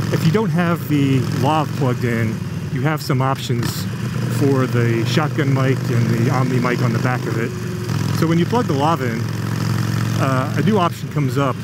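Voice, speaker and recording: A man vlogging casually and haltingly while riding, explaining things informally into a lav mic inside his helmet. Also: medium pitch. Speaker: motorcycle vlogger. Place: outdoors, riding a motorcycle on a road. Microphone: lavalier mic inside a motorcycle helmet. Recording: loud motorcycle engine noise, helmet lav mic. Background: engine and road noise.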